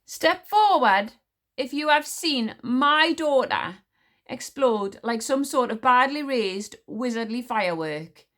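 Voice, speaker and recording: A woman reads as a haughty warrior queen, her voice cold and clipped, pitched a little high and swinging widely between lines. She gives orders without a trace of warmth, all grim authority. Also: heavily acted. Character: stern proud warrior queen, icy and grim.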